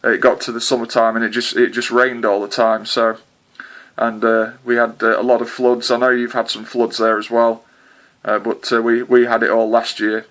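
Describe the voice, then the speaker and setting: A man's voice: mid-range voice, level tone, moderate pace, a bit bright. A man chatting hesitantly with a distant contact as a CB radio operator, with lots of filler sounds. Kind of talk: casual radio contact chat.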